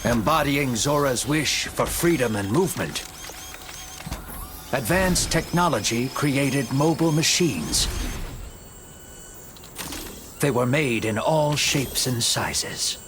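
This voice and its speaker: Man, scripted game-story narration, unhurried, dramatic, lively intonation, music behind. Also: medium pitch. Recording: music under the voice.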